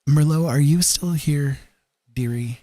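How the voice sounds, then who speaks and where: A man with a deep voice talking casually and quietly to livestream viewers, at home on a podcast microphone. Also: a little slow. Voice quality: deep, husky. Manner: soft-spoken, holding his voice down so as not to wake someone. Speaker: livestreaming digital artist, former radio talk show host. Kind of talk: casual chat with stream viewers. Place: room at home. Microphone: podcast microphone through a mixer, at a desk.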